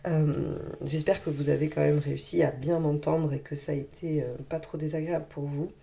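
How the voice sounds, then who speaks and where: A woman with a hoarse voice from a cold, reading tarot cards to viewers in a gentle, reassuring way with many pauses. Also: deep voice, flat delivery. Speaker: tarot reader.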